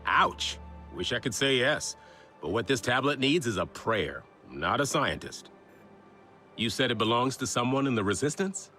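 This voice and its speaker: An easygoing man who fixes gear in a video game. His voice is bright and springy, with a teasing, wry delivery. Light music sits underneath. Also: medium pitch, animated, moderate pace. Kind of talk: video game dialogue acting.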